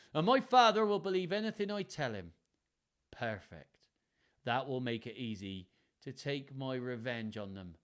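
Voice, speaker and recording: A man's voice: medium pitch, natural voice. A man voicing a treacherous, sly son of an earl in a storybook reading, gloating in his manner. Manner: gloating, slyly innocent.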